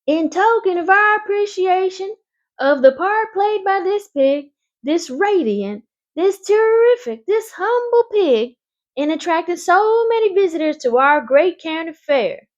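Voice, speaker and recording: A woman voicing a grand, formal male announcer at a fair, speaking over a loudspeaker in a storybook reading. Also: very high voice, animated, unhurried, voice pushed up. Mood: grandiose. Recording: dull lo-fi recording.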